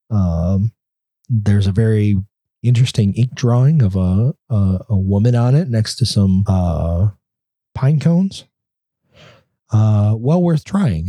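Congested man with a dark husky voice. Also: fairly low pitch, no echo. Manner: rambling, filler-heavy. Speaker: podcast co-host. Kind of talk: casual chat.

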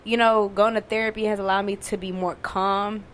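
A woman's voice: mid-range voice, moderate pace. Candid young woman, casual swearing. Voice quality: monotone voice. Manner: candid, frequent swearing and fillers. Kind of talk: casual conversation.

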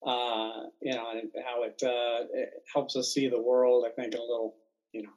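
A man's voice: medium pitch, little pitch movement, moderate pace, darkish tone, rough recording. Easygoing man chatting as a guest. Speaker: podcast guest. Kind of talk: casual chat.

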